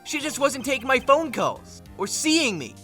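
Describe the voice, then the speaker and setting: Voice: sits high, big pitch swings, normal speed, pushed and bright, exaggerated voice. A performer voicing a hot-headed, whiny young man on trial in a comedic game fandub, full of indignant melodrama. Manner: dramatic, indignant outbursts. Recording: faint game music behind.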